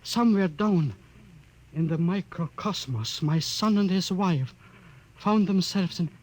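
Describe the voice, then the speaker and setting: An actor in a 1950s radio drama playing an aged scientist, explaining slowly and heavily. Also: male voice, high voice, a little mellow. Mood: grave. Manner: slow, heavy, explanatory. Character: aged male scientist, grieving and awed. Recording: old radio broadcast with faint hum.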